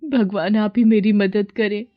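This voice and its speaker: A woman's voice: medium pitch, fairly even intonation, measured pace, narrow, tinny audio, pitched above the performer's own voice, lightly acted. A woman voicing a meek, kind young village daughter-in-law, respectful and plaintive, in a story. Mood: despairing.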